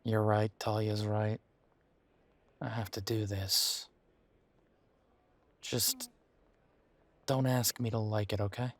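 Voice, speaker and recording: A man's voice: fairly low pitch, animated, takes it slowly, pauses often, no echo. A man playing a bitter, wounded werewolf confiding in his partner in an intimate audio roleplay, with a sardonic, hesitant, conversational delivery. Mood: reluctant, uneasy.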